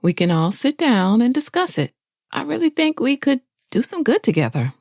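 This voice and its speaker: A woman playing a worldly middle-aged professor in an audio drama, calm and condescending as she lectures. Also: fairly high pitch, normal speed, no echo, clean studio sound. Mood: earnest, persuasive.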